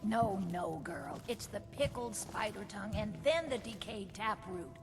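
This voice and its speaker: A woman's voice: medium pitch, lively intonation, a little slow, lightly acted. Woman doing a strict potion teacher in a game, firm and bossy, music under it. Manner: firm, instructive. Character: stern older female alchemy teacher. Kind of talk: video game voice acting.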